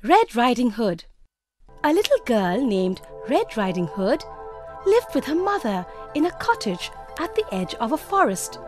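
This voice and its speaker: A woman's voice: a little high, sing-song, unhurried. A woman narrating scripted fairy tales for young children as a storyteller. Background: music.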